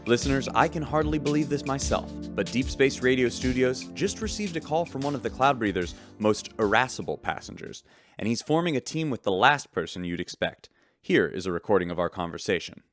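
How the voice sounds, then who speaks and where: A man's voice: medium pitch, expressive, fairly quick, over music, lightly acted. An actor playing an affable, earnest radio newsman reporting in a sci-fi audio drama. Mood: dramatic, urgent. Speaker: radio host.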